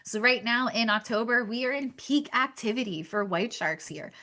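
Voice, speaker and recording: A woman's voice: fairly high pitch, moderate pace, pushed and bright. A young woman from Pennsylvania presenting shark research in a webinar, earnest and stumbling on word restarts. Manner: earnest, frequent stammered restarts.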